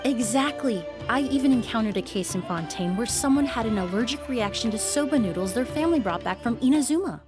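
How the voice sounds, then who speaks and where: A woman voicing a composed young perfumer in a video game, speaking in a measured, explanatory way. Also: on the high side, expressive, lightly acted. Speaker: perfumer with medical knowledge. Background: faint game music.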